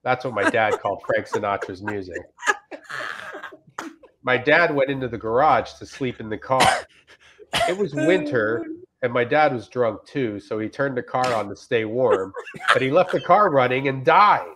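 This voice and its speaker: A man in casual comic chat and reading reviews aloud, rambling and deadpan, with some swearing, on a video call. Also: mid-range voice, normal speed, background noise. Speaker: comedian guest. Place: indoors on a group video call.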